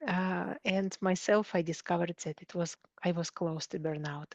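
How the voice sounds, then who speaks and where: A woman's voice: lowish voice, steady tone, normal speed, no echo. A woman from Siberia with a Russian accent, answering interview questions conversationally and often searching for words. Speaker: coach, interview guest.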